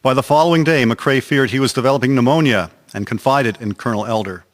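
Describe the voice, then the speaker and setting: A man's voice: mid-range voice, fast, noisy background. A man narrating a historical radio drama from a script in a solemn, formal storytelling manner. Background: music.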